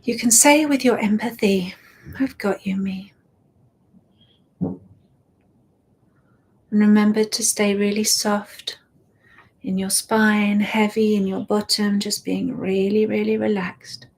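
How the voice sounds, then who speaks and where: A woman guiding a meditation, speaking softly and soothingly and often dropping into a whisper. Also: medium pitch, steady tone, breathy edge. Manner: slow, soft and soothing, drifting into whispers. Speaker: meditation guide. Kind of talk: guided meditation.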